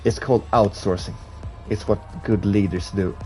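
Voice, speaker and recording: A man's voice: fairly low pitch, steady tone, normal speed, a little mellow, faint music behind. A man from Sweden, English not his first language, chatting casually to viewers and reading comments while he races on a live stream. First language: Swedish. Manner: chatty, laughs at himself, reads chat aloud.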